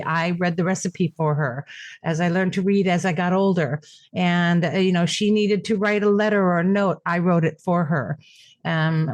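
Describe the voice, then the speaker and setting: Woman with a lowish voice, candid storyteller. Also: no echo. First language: Japanese. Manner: reflective, warm storytelling with frequent fillers and restarts. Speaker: podcast guest, caregiver. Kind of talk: conversational interview.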